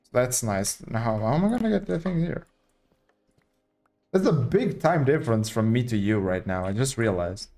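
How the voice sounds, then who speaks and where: A man's voice: medium pitch, lively intonation, moderate pace, darkish tone. A young man from Portugal, casually thinking aloud as he live-streams a building game. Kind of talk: live gameplay commentary.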